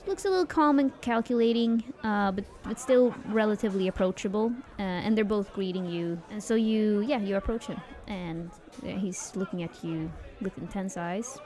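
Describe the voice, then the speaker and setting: A woman's voice: medium pitch, normal speed, few pauses, darkish tone, some chatter behind. Chatty woman running a tabletop game. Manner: chatty, laughs often. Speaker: game master.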